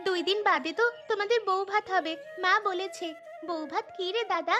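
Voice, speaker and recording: An inquisitive little girl in a children's cartoon. Her voice is high and childlike, with a cute coaxing way of speaking. Music is clearly heard behind her. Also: moderate pace, pushed and bright. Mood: curious. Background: background music.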